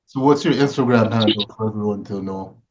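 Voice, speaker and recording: A man's voice: lowish voice, fairly quick. Guy interviewer, short questions, a bit far from the mic, muffled. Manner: brief affirming replies, short questions.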